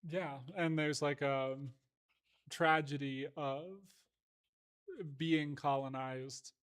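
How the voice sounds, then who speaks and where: A man in a casual conversation, reflective and measured, hedging often with "like". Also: on the high side, little pitch movement, a bit bright, clean tone.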